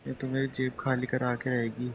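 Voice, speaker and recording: A man's voice: medium pitch, monotone, normal speed, close to an everyday voice. A man playing a teasing, penny-pinching young husband-to-be chatting casually and hesitantly on a phone call. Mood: joking, reluctant. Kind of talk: acted intimate phone-call drama in casual chat. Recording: tinny phone line, faint noise.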